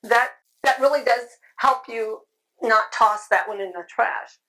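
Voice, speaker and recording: A middle-aged woman with a slightly high voice talks casually to a convention audience. She is chatty and loud, often laughing between points. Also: a little slow, rough recording. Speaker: convention speaker on sidewalk counseling. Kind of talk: informal talk with audience questions. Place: convention room.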